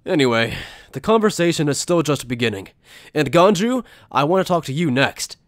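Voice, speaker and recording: A man's voice: a little high, big pitch swings, moderate pace, dry recording, clean studio sound. A man acting in an anime fan dub as an earnest, principled young officer who is also a journalist, speaking in a sincere, insistent way. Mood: resolute. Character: earnest male Soul Reaper lieutenant and principled journalist.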